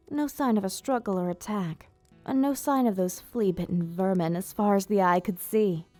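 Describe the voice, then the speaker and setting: A woman playing an elegant vampire ruler, proud yet tender, speaking in formal, measured phrases in an ASMR roleplay. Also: medium pitch, dark tone, no echo, natural voice. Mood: grave, disdainful. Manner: formal, measured, theatrical diction. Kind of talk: ASMR roleplay, scripted monologue to listener.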